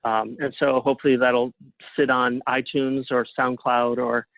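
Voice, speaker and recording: A man speaking as an interview guest in casual conversation, with a reflective, halting way of phrasing things. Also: medium pitch, little pitch movement, creaky, dry recording. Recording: thin phone-like line.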